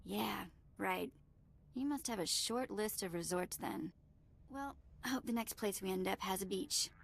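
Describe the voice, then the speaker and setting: A woman's voice: mid-range voice, measured pace, gravelly, a little hiss or hum. An actress playing a sarcastic, flippant young female sidekick in a video game. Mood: teasing. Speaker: game companion character.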